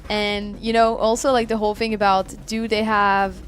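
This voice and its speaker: A woman's voice: medium pitch, flat delivery, normal speed. A woman game streamer chatting casually and commenting live on her play. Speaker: game livestreamer.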